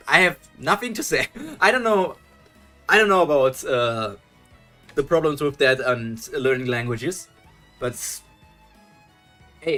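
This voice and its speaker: A man's voice: fairly high pitch, bright, forceful tone. Chatty German man on stream. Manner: chatty, thinks aloud, restarts and chuckles, answers chat. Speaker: streamer reading webtoons live. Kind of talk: live reaction stream, reading chat.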